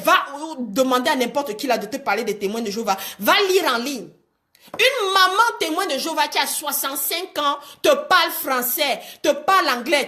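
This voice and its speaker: A woman coaching online viewers in an animated, exhortative live talk, repeating phrases and asking rhetorical questions for emphasis. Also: sits high. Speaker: motivational coach hosting a livestream.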